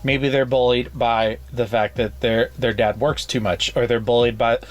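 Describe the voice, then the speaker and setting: Man, podcast co-host, mid pitch, blunt, opinionated, casual swearing. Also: little pitch movement, normal speed. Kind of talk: casual chat.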